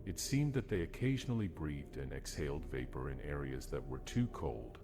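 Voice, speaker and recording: A man's voice: very deep voice, moderate pace, over music. A man reading scripted narration for a horror story, as a narrator. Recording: faint noise behind the voice.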